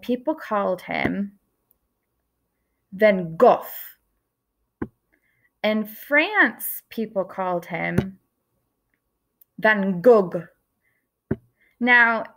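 A woman in her thirties, an art teacher giving a lesson to young children, speaking gently and expressively and slowing down on key words, recorded close to a webcam indoors. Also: medium pitch. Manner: gentle, expressive storytelling with slow emphatic words.

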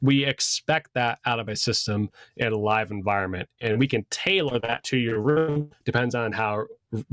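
A man's voice: mid-range voice, normal speed, fairly bright tone, no echo. Guy teaching stuff in a chatty informal way, mid voice, really dry sound. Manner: conversational, informal. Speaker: sound system design instructor. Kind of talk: casual explanatory lesson with slides.

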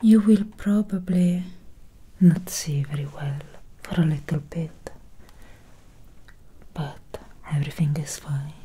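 A young woman playing a gentle, melancholy Victorian vampire doctor in a breathy, soothing ASMR whisper, close to the microphone. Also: sits low, fairly even intonation, slight background noise. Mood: calm, caring. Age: young adult. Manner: slow, soothing, hushed. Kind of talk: soft-spoken ASMR medical roleplay.

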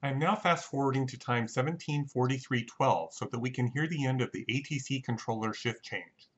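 A man giving a measured, matter-of-fact scripted technical narration as an explainer. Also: medium pitch, husky, lo-fi sound. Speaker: narrator of a flight reconstruction.

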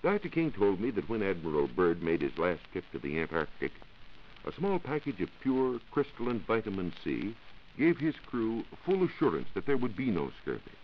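An American man giving a scripted, measured science talk as a reporter on a 1940s radio show. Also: lowish voice, big pitch swings. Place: radio studio. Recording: muffled 1940s radio recording with hiss.

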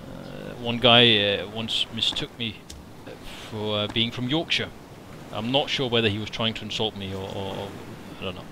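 A man from Denmark, Danish by birth, chatting casually and excitedly while building in a survival game with a friend, given to swearing and poking fun at himself. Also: fairly low pitch, normal speed. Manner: excitable, swears and self-mocking. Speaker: modder playing with a friend. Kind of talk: casual gaming chat. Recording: noisy game audio behind voice.

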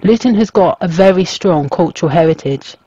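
A man reading a scripted documentary narration as a storyteller, in a measured, even way. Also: sits high, husky, dry recording, dull audio. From England.

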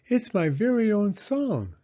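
A man voicing a cheerful, eager little girl mouse with an excited singsong manner in a children's storybook. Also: high voice, a little slow, lo-fi sound, voice pushed up, heavily acted.

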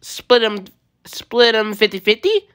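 A woman's voice: fairly high pitch, very animated, a little slow, a bit bright, clear, resonant tone, pitched above the performer's own voice. A woman voicing a cocky, easygoing young male blue hedgehog hero in an amateur comic dub, with breezy, casual delivery. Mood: confident.

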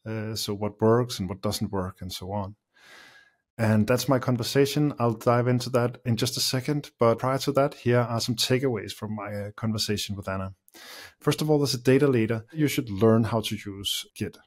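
A middle-aged man with an even, mid-pitched voice hosts the show, reading his takeaways and asking questions in a halting, searching way full of small uhs. He sits close to a studio condenser mic in a small curtained room. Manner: halting, with frequent uh fillers. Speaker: podcast host. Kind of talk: podcast intro and interview. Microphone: studio condenser mic with pop filter.

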